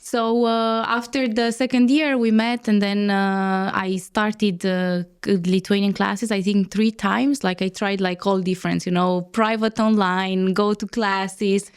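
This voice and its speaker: Woman, interview guest, smooth clear voice, easy laughs, halting phrasing. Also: mid-range voice, level tone. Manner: laughs often, halting with fillers. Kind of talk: casual interview chat.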